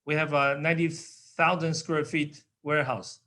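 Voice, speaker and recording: An older man from Taiwan speaking English with a Taiwanese accent, in simple, halting sentences with fillers, as an interview guest. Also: on the high side, steady tone, pushed and bright. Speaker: guest, company founder.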